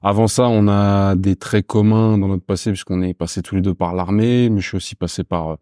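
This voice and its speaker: A man in his thirties from Paris, a podcast guest talking casually and informally, often pausing with fillers as he searches for words. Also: low voice, steady tone, warm tone, smooth, no room sound, studio quality. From Paris, France. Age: 30s. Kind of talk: casual conversational interview.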